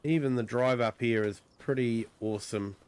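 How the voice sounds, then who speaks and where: A man with a New Zealand accent and a croaky voice from a cold, giving easygoing livestream commentary on a farming game, full of ums and uhs. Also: medium pitch, normal speed, darkish tone. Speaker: streamer. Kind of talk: relaxed livestream gameplay commentary.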